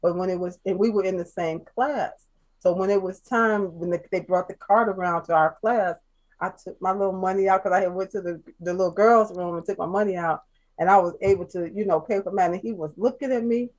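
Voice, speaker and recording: A woman from Chicago, a guest telling her life story in casual chat, soft-spoken and halting, with many fillers and restarts. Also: mid-range voice, steady tone, poor audio. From Chicago, USA.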